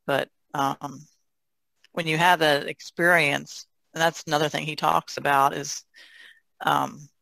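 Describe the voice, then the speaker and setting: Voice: medium pitch, creaky, sharp tone, no echo, low-quality recording. A guest painter talking casually and thoughtfully about their craft on a podcast.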